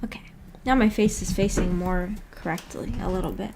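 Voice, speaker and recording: A woman's voice: on the low side, a little fast, noisy background. A woman hosting a livestream, chatting casually with viewers in an informal, hesitant, giggly way. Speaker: livestream host.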